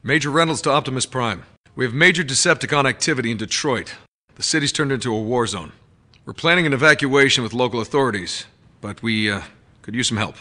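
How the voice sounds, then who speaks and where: A man's voice: medium pitch, fast talker, pushed and bright, natural voice. An actor in his thirties playing a serious army major, giving a clipped military briefing in a video game. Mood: serious, urgent.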